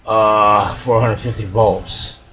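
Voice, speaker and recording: A man's voice: fairly low pitch, level tone, slow, sharp tone, a little hiss or hum, rough recording. A man giving casual, unscripted commentary as a repair hobbyist, folksy and muttering to himself.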